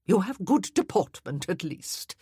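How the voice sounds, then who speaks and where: A woman voicing an imperious elderly dowager countess who speaks bluntly and deliberately. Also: medium pitch, very animated, dark tone, husky, dry recording, clean studio sound, exaggerated voice.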